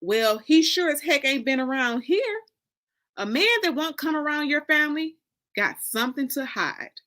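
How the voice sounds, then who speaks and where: A woman's voice: high-pitched, lively intonation, moderate pace, bright, forceful tone, voice pushed up. A woman voicing an indignant, scolding mother in a dramatic book reading, with emphatic, folksy, confrontational phrasing. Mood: suspicious.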